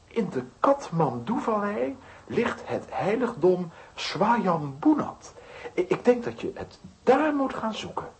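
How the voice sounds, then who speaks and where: Verstrooide, aarzelende, levendige professor. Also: male voice, high voice, very animated, takes it slowly, heavily acted. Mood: explaining. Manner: hesitant, muttering, distracted. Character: absent-minded, kindly male comic-strip professor. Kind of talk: children's audio drama acting.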